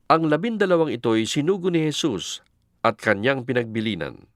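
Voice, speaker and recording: Casting: male narrator for dramatized scripture, lively varied pitch, dry close sound. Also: normal speed, no room sound.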